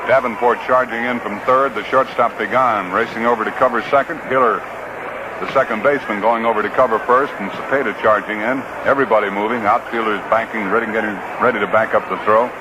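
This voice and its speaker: A man's voice: on the low side, fairly even intonation, fast. An American man calling live baseball play-by-play on the radio in a folksy, conversational way, with short clipped phrases. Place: outdoor ballpark broadcast booth. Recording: noisy vintage 1960s radio broadcast.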